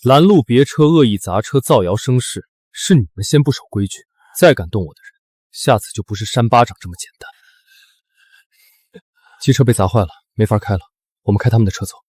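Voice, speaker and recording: A man's voice: medium pitch, animated, a little fast, a little mellow, breathy edge, no echo, clean studio sound. An actor playing a protective, commanding young man in a short drama, speaking with firm assurance. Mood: menacing. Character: protective, commanding young male rescuer with cold authority.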